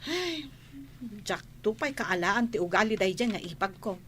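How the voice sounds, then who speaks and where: A woman's voice: mid-range voice, animated, normal speed, a bit bright, a little hiss or hum, natural voice. A woman with an Ilocano accent playing an aggrieved, gossipy village sister-in-law in a comedy radio drama. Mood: gossipy, complaining.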